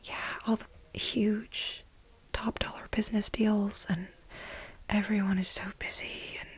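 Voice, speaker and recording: A woman playing a flustered, lovestruck office assistant in a soft-spoken ASMR role play, breathy and halting, with a dramatic, confiding manner. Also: mid-range voice, flat delivery. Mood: burnt out, confiding. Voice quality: breathy, whispery. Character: flustered, lovestruck female office assistant confessing to married boss.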